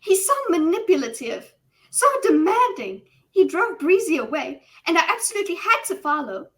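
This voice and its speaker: A woman's voice: very high voice, very animated, normal speed, pitched above the performer's own voice. A woman playing a gushing Southern belle noblewoman. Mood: gushing complaint. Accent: hyped-up American Southern.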